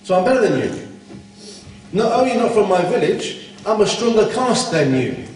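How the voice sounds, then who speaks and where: A man's voice: sits high, very animated, moderate pace, darkish tone. A man giving a blunt, scolding Islamic talk at a conference, full of rhetorical questions. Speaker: conference speaker. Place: conference hall. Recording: echoey hall from far off.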